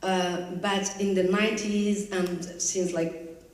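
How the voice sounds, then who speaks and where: A woman from Morocco who speaks English haltingly, searching for her words on a panel. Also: mid-range voice, steady tone. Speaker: panelist, activist. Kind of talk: halting explanation. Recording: echoey room, distant mic.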